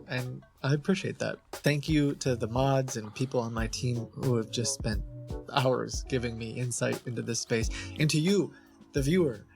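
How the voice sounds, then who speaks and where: A man hosting a video with earnest, scripted narration. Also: mid-range voice. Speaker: video host. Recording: music bed under the voice. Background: background music.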